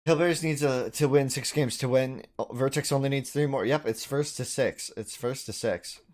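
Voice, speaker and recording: A man's voice: medium pitch, normal speed, a little mellow. A man streaming live match commentary, chatting informally with his viewers as he goes. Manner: chatty, informal, addresses chat as dude and buddy.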